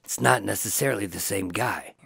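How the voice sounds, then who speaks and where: An actor playing a determined undercover agent in a radio drama, measured and guarded as he talks and narrates. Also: lowish voice, gravelly, pushed and bright, husky, no echo, clean studio sound, full character voice. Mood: guarded, matter-of-fact. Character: determined undercover male agent narrating his mob investigation. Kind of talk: radio drama acting and first-person narration.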